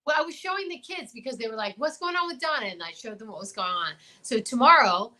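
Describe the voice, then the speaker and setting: A woman co-hosting a casual show, chatting and telling stories in an animated, gushing, joking way. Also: a little high, fast talker. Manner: animated, gushing, jokey storytelling. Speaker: show co-host. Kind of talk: casual comic chat. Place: remote livestream from quarantine at home.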